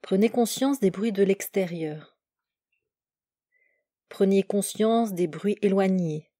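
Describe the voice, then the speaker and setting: A woman guides a relaxation meditation in a soft-edged, mellow voice. She speaks very slowly, setting out calm instructions in short, separate phrases. The sound is dry and close, with no room around it. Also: medium pitch, no room sound. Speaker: yoga nidra instructor.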